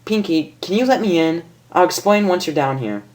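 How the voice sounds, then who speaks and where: A woman's voice: lowish voice, lively intonation, normal speed, a little mellow, pitched above the performer's own voice, close to an everyday voice. A woman voicing a brash, nervous, lovestruck female pony from a cartoon, blurting her words in a flustered, informal way. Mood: impatient.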